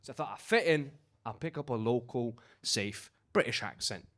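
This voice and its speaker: A man's voice: mid-range voice, lively intonation, normal speed, fairly bright tone. A man from Romania with a Glaswegian accent doing a punchy stand-up set in a basement club. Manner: punchy, swings between voices. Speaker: stand-up comedian.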